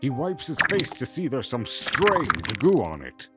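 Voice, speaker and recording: A man's voice: mid-range voice, huge pitch swings, a little slow, over loud music. A man narrating a scripted fantasy audio drama in the present tense.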